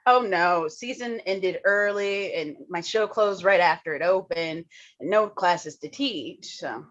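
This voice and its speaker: Actress as a bright, flustered, chatty theater woman. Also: lowish voice, measured pace. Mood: rueful. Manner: stammering, repeats herself, effusive. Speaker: actor in a staged play reading. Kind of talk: naturalistic dramatic dialogue, read online. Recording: dull, lo-fi online call audio.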